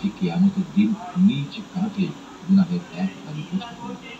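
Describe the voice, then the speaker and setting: Dull-sounding male scripture narrator, lively intonation. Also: fairly high pitch, brisk, gravelly, a crowd in the background. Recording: dull broadcast playback amid background voices.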